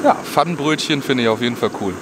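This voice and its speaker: A young man with a mid-range voice talks in an easygoing, colloquial way, as if thinking out loud for his viewers. He is recorded outdoors by a camera microphone, with plainly audible ambient noise behind him. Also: medium pitch, fast. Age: young adult. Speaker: outdoor cooking vlogger. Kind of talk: casual chat to viewers while cooking. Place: outdoors in a forest. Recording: clearly noisy outdoor background.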